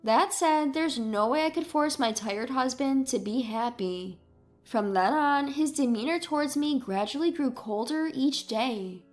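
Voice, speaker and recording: A woman's voice: sits high, lively intonation, unhurried, soft background music. A woman reading a scripted first-person story as its narrator.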